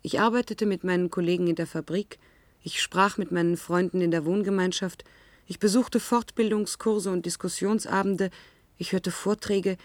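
An actress playing an anguished, isolated young woman who narrates her ordeal in a German science-fiction radio drama, deliberate and dramatic. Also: lowish voice, fairly even intonation, no echo, natural voice. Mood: bleak, resigned.

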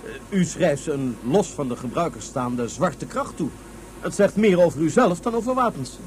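A man's voice: a little high, lively intonation, moderate pace, slight background noise, close to an everyday voice. An actor in a Dutch radio drama playing a thoughtful, argumentative man who speaks in a formal, pointed way.

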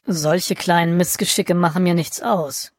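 Slow, low-voiced Martian alien played by a woman. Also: steady tone, no echo, studio quality. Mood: calm, understated. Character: stranded boneless Martian alien, unbothered, politely haughty. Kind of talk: radio play satire.

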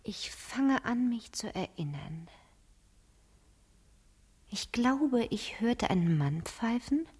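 An actress playing a calculating fifteen-year-old girl in a German radio crime drama, speaking deliberately and sometimes hushed. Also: fairly high pitch, big pitch swings, slightly rough, heavily acted. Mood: hushed, scheming. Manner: slow, deliberate, hushed at times.

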